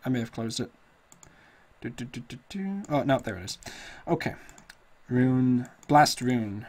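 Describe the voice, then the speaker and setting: A slightly hoarse man casually walking through a tabletop character build, thinking aloud with lots of fillers. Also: mid-range voice, expressive, a little slow. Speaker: tutorial host. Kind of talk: casual screen-recorded game tutorial.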